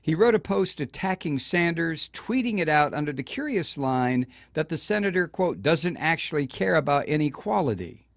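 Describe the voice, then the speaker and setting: A man's voice: a little high, sing-song, normal speed, gravelly, few pauses. An older man hosting political talk radio, his voice riding up and down with feeling as he grows indignant. He talks on a close mic in a dry, dead room with no echo, stumbling and restarting as he presses his point. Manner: impassioned, indignant, with frequent restarts. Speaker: radio talk show host. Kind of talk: political commentary.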